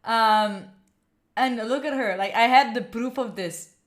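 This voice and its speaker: A young Italian woman talks on her stream in a fairly high voice. She chats loosely, giggling and filling pauses with "like" and "uh". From Italy. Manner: chatty, giggly, rambling with many fillers. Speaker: study streamer. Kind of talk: casual live-stream chat.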